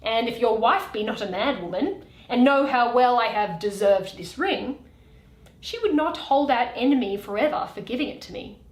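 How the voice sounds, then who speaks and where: A woman's voice: a little high, lively intonation, natural voice. A woman reading the part of a poised, clever lady disguised as a lawyer in formal Shakespearean verse. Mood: cool, offended. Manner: measured, formal, archaic verse delivery. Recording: roomy, echoey indoor recording.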